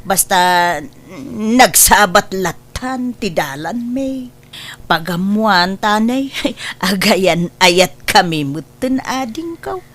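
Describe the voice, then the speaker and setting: A woman's voice: mid-range voice, animated, slow pace, a bit bright. An actress playing a coy, lovestruck older woman in a comedy drama, giggly in manner. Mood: coy, sheepish. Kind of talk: Ilocano comedy drama acting.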